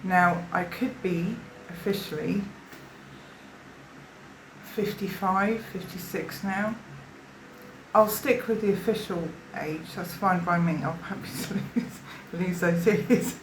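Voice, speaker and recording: A woman in her fifties from South Africa tells her story in a hesitant, rambling way, stopping and restarting often. Her voice sits fairly low. The recording is roomy and echoey, with a faint hiss behind it. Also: slow pace. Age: 50s. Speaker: interviewee giving a witness statement. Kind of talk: personal testimony in conversation. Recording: roomy echo.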